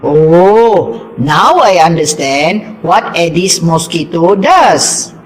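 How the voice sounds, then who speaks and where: A woman's voice: lowish voice, animated, very slow, poor audio. A woman voicing an eager, polite young schoolboy in an amateur puppet show, with an exclamatory recited delivery.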